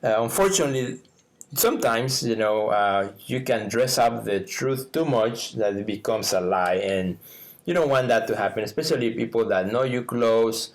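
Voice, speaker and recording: A middle-aged man from Mexico with a Mexican Spanish accent, Spanish his first language, co-hosting a podcast in a lively, casual way with lots of laughs and fillers. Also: medium pitch, normal speed. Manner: animated, laughs often, many fillers. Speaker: podcast co-host.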